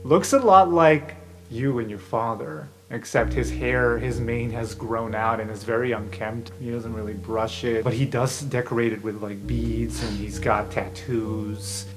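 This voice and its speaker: A man's voice: mid-range voice, measured pace. Guy running a dnd game, laid back descriptive narration, music in the background. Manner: relaxed descriptive narration with casual fillers. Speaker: game master.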